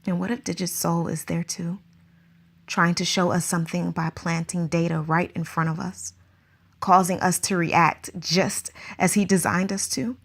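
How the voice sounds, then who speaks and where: A woman's voice: fairly low pitch, level tone, normal speed, vocal fry, breathy edge. A woman playing an anxious, earnest circus teacher in a radio drama, asking question after question.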